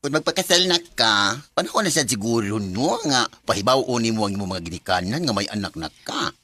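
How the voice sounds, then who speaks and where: An actor playing a forthright confidant who gives frank advice in a radio drama. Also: male voice, fairly low pitch, lively intonation, fairly quick, bright, forceful tone. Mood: frank, insistent. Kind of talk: Philippine radio drama acting.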